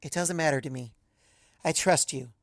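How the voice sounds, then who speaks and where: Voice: medium pitch, normal speed, no room sound, clean studio sound, close to an everyday voice. Playful, affectionate pegasus mare voiced by a narrator. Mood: tender, reassuring. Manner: teasing, reassuring. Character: playful, affectionate young female pegasus pony.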